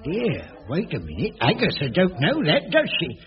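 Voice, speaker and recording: A man's voice: on the high side, huge pitch swings, moderate pace. A man playing a bumbling, flustered older caretaker who stammers and laughs nervously, in a voice. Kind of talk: 1980s radio sitcom acting. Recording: dull old radio recording with hiss.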